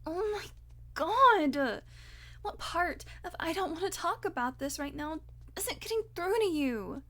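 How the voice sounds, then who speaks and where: A woman's voice: extremely high pitch, lively intonation, a little slow, pushed and bright, full character voice. A woman playing a hungry, irritable female vampire in an audio roleplay, sardonic and foul-mouthed, with teasing pet names. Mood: exasperated, angry. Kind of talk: audio roleplay acting, one-sided dialogue.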